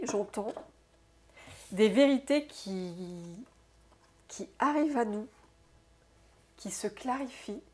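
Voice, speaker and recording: A woman with a stuffy, congested nose from a cold, giving an intuitive oracle card reading to her viewers, musing aloud softly and often tagging her sentences with "hein". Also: medium pitch. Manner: soft, unhurried, musing aloud with frequent "hein". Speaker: soul therapist and oracle card reader.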